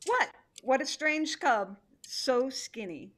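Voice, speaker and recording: A woman's voice: high-pitched, expressive, slow pace, fairly bright tone, full character voice. Woman playing an imperious, swooping tigress. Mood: scornful. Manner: imperious, emphatic. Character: proud, impatient female tiger, a great hunter. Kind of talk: theatrical play reading.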